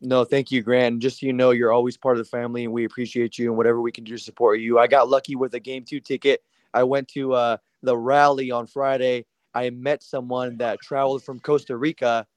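A man calls in to a radio show, telling his story eagerly and at length. His voice is a little raspy and stays fairly level in pitch. The sound is dry and close. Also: level tone, fairly quick, dry recording. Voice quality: slightly raspy. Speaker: caller. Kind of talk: phone-in chat.

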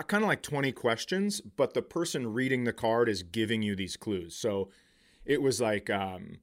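A middle-aged man with a mid-pitched voice hosts a comedy podcast. He tells stories casually and profanely, acting out quoted lines as he goes. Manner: profane, animated retelling with acted-out quotes. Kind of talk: casual comic storytelling.

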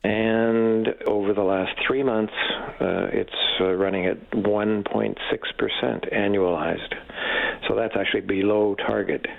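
Male expert guest, deep creaky voice, measured and hesitant delivery, slightly muffled radio sound. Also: steady tone, dry recording. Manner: measured, halting, hesitant phrasing. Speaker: economics professor, interview guest. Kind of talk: expert commentary in a radio interview.

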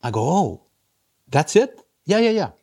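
A man's voice: sits high, very animated, a little slow, gravelly, a little mellow. A man who grew up across South America and Europe, talking casually and a little hesitantly as an interview guest. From grew up across South America and Europe, born in Brazil. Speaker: interview guest, head of quantitative investing. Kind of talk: casual conversational storytelling.

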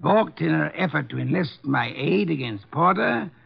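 An actor playing a pompous, brilliant older armchair detective in a 1950s radio drama, speaking with precise, erudite diction. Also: male voice, a little high, animated, normal speed, creaky, breathy edge, full character voice. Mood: lecturing, self-satisfied. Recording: muffled vintage 1950s radio recording.

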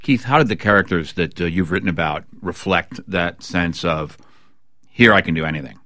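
A man's voice: deep voice, normal speed, bright, forceful tone, no room sound, clean studio sound. A man hosting a broadcast interview, putting questions and comments to guests. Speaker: interview host.

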